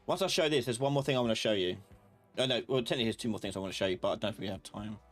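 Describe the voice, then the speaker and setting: A man hosting a casual live software tutorial, thinking aloud and muttering or humming to himself as he works. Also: lowish voice, rapid-fire, soft background music. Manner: informal, muttering and humming while working. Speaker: host, software instructor.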